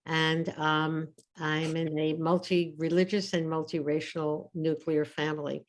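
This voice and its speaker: An older woman, a family therapist from Brooklyn, introducing herself on a video call with many pauses and fillers. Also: deep voice, level tone. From Brooklyn, New York. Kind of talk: casual self-introduction.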